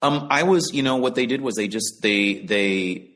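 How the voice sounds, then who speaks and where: A man from the Bahamas, an audiobook narrator and actor, talking about his work in a relaxed interview over a video call, with thoughtful pauses. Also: mid-range voice.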